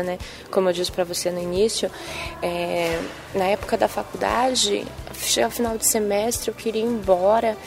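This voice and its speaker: A young adult woman journalist with a soft Bahian accent, answering interview questions in a sing-song manner with frequent tag questions. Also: mid-range voice, creaky. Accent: Bahian Brazilian Portuguese. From Bahia, Brazil. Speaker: TV journalist and presenter.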